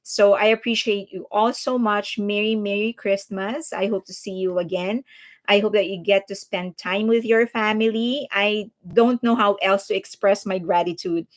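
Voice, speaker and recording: A woman's voice: mid-range voice, fairly even intonation, normal speed. Filipino woman chatting on a livestream. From Philippines. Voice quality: chatty, earnest, frequent restarts and fillers. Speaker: livestream host. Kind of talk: casual livestream chat reading viewer comments.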